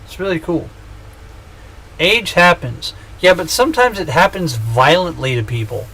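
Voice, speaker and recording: A man's voice: fairly high pitch, animated, moderate pace, sharp tone, breathy edge, rough recording. A man in his thirties chatting casually on a gaming livestream, joking and rambling with self-mocking humor. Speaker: streamer.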